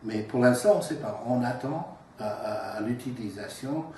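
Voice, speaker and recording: A man whose first language is English, answering interview questions in careful second-language French, measured and trailing off softly at phrase ends. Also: medium pitch. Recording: echoey room, from far off, faint noise.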